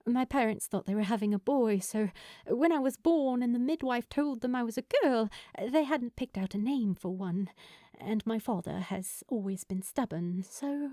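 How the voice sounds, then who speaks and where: Woman acting a flustered, earnest, higher-pitched woman. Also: moderate pace, dark tone, no room sound, clean studio sound, close to an everyday voice. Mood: bright. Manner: blurting, stammering, apologetic. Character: flustered, earnest newly divorced blonde woman, shy. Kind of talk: audiobook character dialogue.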